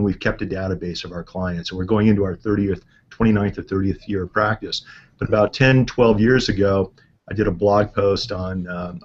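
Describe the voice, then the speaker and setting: A man's voice: on the low side, dull audio, a little hiss or hum. A middle-aged man hosting a conversational interview, chatty and telling stories to make a point. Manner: chatty, tells anecdotes. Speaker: interview host.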